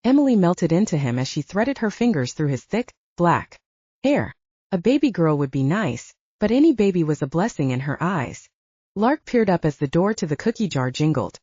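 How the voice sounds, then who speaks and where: A woman's voice: on the low side, big pitch swings, moderate pace, mellow, breathy edge, no room sound. A woman reading a scripted fiction audiobook as narrator, on a slightly dull recording.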